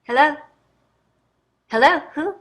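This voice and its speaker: An actress playing a privileged, jaded middle-aged wife in a staged play reading, speaking in a theatrical, effusive way. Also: female voice, high-pitched, lively intonation, slow pace, background noise. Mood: anxious, relieved. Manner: theatrical, effusive, drawling endearments. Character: wealthy, jaded, privileged wife on a dystopian luxury ship.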